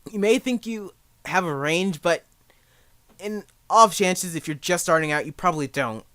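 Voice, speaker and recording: A man's voice: high-pitched, normal speed, sharp tone, dry recording. A young man giving a casual spoken tutorial in a rambling, jokey, self-deprecating way. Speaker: amateur voice actor giving beginner advice.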